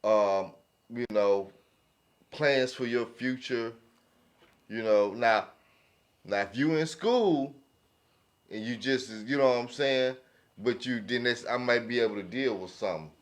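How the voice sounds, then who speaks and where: A man's voice: mid-range voice, unhurried, pauses often. A man in a casual, opinionated group chat, speaking emphatically and often checking that listeners follow him. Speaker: podcast panelist.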